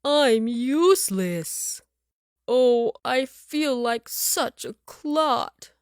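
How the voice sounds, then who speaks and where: A woman voicing a sensitive, earnest male giraffe in a children's storybook, with emotive, drawn-out words. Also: a little high, expressive, no room sound, studio quality, full character voice. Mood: dejected. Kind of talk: children's storybook read-aloud.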